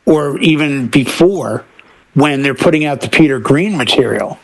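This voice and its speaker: A man's voice: a little high, animated, normal speed, poor audio. A middle-aged man co-hosting a talk show in casual conversation. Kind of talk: casual chat.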